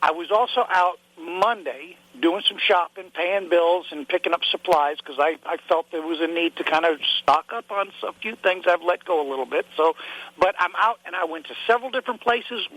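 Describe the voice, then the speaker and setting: A man's voice: high-pitched, normal speed, a bit bright, dry recording, poor audio. A man calling in to a talk show, indignant and rambling, with a stuffy nose and a cough. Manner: indignant, rambling, repeats himself. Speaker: caller. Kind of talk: call-in chat.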